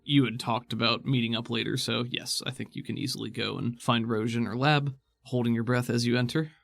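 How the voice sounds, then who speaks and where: A man's voice: medium pitch, fairly even intonation, a little fast, gravelly, a bit bright, no room sound. A man working as game master, narrating an improvised tabletop game in a descriptive, conversational way.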